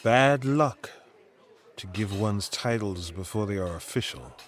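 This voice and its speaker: An actor playing an envious, scheming villain in an audio drama, measured and insinuating. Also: male voice, lowish voice, lively intonation.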